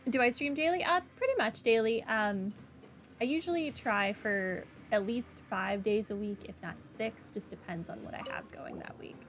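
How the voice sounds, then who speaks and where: Female streamer, higher-pitched, wry casual playthrough commentary with game music underneath. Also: normal speed, fairly bright tone. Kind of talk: casual playthrough chat and read-aloud game text.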